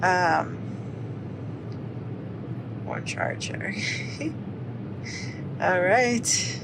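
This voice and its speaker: Woman, passenger-seat vlogger, casual and animated, mid pitch, faint car rumble. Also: drawn-out words, vocal fry. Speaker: vlogger, co-host.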